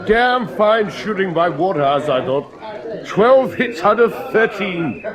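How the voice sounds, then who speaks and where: An actor playing a haughty, hearty English naval officer with a clipped, patronising manner in a radio drama. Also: male voice, high voice, lively intonation, measured pace, a crowd in the background. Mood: smug.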